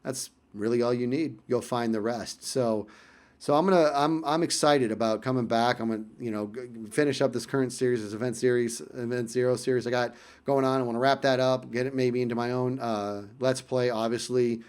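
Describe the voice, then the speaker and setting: A man casually telling a personal story in vlog style, animated and emphatic, often repeating phrases for effect. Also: medium pitch, brisk, clear, resonant tone.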